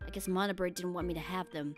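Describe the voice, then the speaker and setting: A woman's voice: on the low side, steady tone, fast, soft background music, pitched below the performer's own voice, natural voice. A woman voicing a hurt, defensive female penguin spy in a game story, curt and sarcastic. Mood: curt, bitter.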